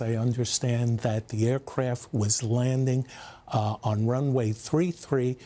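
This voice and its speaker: A man with a soft, dark-toned voice at a middling pitch talks slowly and deliberately. He explains technical matters step by step, as an expert guest in a television news interview. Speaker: aviation expert guest, former pilot.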